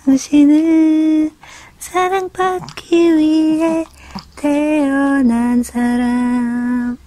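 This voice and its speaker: Woman, high and mellow, singing a soft lullaby. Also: fairly even intonation, a little fast, dry recording. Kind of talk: gentle sung lullaby.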